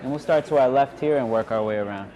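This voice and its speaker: A man's voice: mid-range voice, fast talker, warm tone. A man facilitating a workshop, informally giving instructions to a group, recorded live in a room. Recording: live room with audience chatter.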